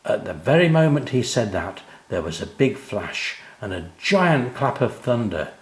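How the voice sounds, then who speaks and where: An older man reads a children's story as its author. His mid-pitched voice moves up and down expressively, and the room around him is echoey. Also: big pitch swings, measured pace.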